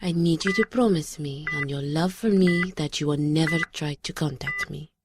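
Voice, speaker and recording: Solemn mystic sister, resolute, gentle, deliberate formal phrasing, audio drama, noisy backdrop. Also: female voice, low-pitched. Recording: clearly noisy background.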